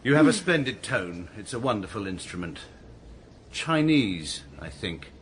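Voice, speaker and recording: A pompous, pedantic British lawyer. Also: male voice, on the low side, big pitch swings, measured pace, noisy background. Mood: stiffly polite. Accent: British English. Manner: formal, precise, pompous. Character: stiff, pedantic upper-class lawyer father. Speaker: narrator and lead. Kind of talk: radio comedy drama.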